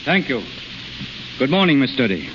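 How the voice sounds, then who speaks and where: A man's voice: mid-range voice, very animated, moderate pace, close to an everyday voice. Man playing a brash, cocksure healer in muffled radio drama. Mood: confident, challenging. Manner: blunt, theatrical, punctuated with "eh?". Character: brash, cocksure unqualified healer, taunting and persuasive. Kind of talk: 1930s radio drama acting. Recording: muffled, noisy 1930s radio recording.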